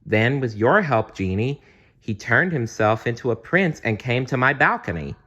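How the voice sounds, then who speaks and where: A man voicing a kind, playful young princess in a gentle, earnest storytelling manner for a bedtime storybook. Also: medium pitch, sing-song, normal speed, highs cut off, voice pushed up. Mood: fond, earnest.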